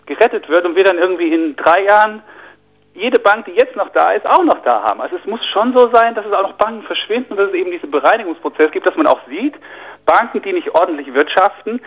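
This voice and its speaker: A man's voice: sits high, a bit bright, rough recording. A man guesting on a radio interview, explaining finance in an earnest, explanatory way and often restarting and repeating words mid-sentence.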